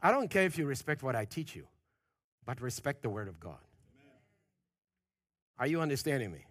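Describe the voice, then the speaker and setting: A man preaching a sermon, pressing his points with questions to the congregation, loud at the peaks and dropping low and quiet at phrase ends. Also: very animated, normal speed, no room sound. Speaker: pastor.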